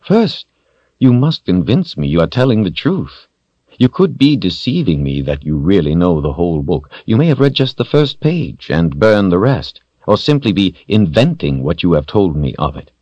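A man voicing a smug, villainous sorcerer in an audiobook, taunting and deliberate, with theatrical mock courtesy. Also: sits low, sing-song, warm tone, dry recording, highs cut off. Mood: silky threat. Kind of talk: audiobook character voice.

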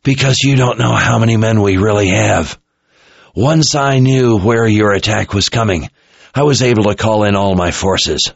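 A man's voice: on the low side, gravelly, slightly rough, no echo, pitched above the performer's own voice. A man voicing a captured enemy officer, a dazed yet smugly menacing thug in a fantasy audiobook. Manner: mumbling, halting. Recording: muffled, dull-sounding recording.

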